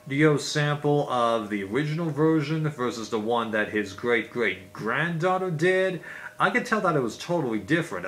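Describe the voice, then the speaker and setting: A man's voice: mid-range voice, fairly bright tone. A man giving a casual, unscripted explainer as a video host, in a rambling, conversational way.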